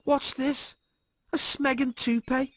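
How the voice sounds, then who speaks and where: A man's voice: very high voice, flat delivery, takes it slowly, a bit bright, dry recording, pitched above the performer's own voice, full character voice. A man voicing a whiny, agitated young working-class slob, indignant and pleading.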